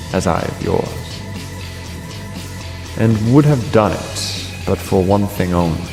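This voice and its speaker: Guy doing a very low gravelly evil king, slow gloating tragedy speeches, big reverb with guitar music. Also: heavily acted. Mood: cold scorn. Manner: deliberate, theatrical, savouring each phrase. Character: vengeful, gloating king, cruel and wrathful. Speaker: stage actor. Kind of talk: classical verse tragedy acting, declaimed monologue.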